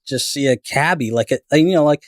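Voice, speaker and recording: A man's voice: medium pitch, brisk, no room sound, clean studio sound. A man born in Canada telling stories in a casual podcast chat, rambling with many fillers and restarts. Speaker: guest storyteller.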